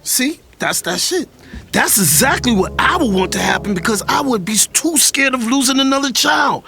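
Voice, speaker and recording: A man's voice: very high voice, expressive, normal speed, gravelly, sharp tone, faint music behind, heavily acted. A man with an American accent playing a guarded, protective older brother behind bars in an audio drama, talking bluntly. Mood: frustrated. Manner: blunt, colloquial.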